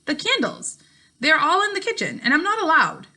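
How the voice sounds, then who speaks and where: A woman's voice: sits high, wildly swooping pitch, moderate pace, bright, forceful tone, pitched above the performer's own voice, heavily acted. A woman voicing a timid, sheltered farm boy in a storybook, hesitant and a little defensive.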